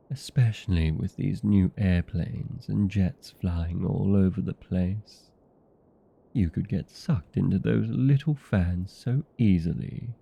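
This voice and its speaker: A man playing a vain, playful, chatty old vampire in a comedic audio roleplay, with a gravelly, husky voice and theatrical, courteous manner. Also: deep voice, mellow, muffled recording, exaggerated voice. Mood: chatty, hospitable.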